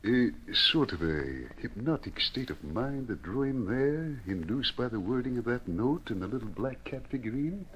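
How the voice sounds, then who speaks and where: Formal, animated learned doctor, hissy recording. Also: male voice, medium pitch, moderate pace, hardly any pauses, muffled recording. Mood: insistent. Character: grave, learned male doctor, expert on ancient relics. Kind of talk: old time radio acting. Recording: hissy old radio recording.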